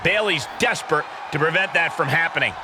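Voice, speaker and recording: A man playing an excited ringside commentator calling wrestling in a video game with emphatic announcing. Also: fairly high pitch, a little fast, background noise, close to an everyday voice. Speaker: sports commentator.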